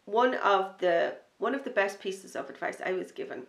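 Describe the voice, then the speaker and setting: A woman hosting a knitting podcast, chatting in a rambling, enthusiastic way, a little sniffly from a starting cold, sometimes dropping to a soft near-whisper. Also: mid-range voice, lively intonation, moderate pace, roomy sound. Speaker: knitting podcast host and designer. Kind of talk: casual chat to camera.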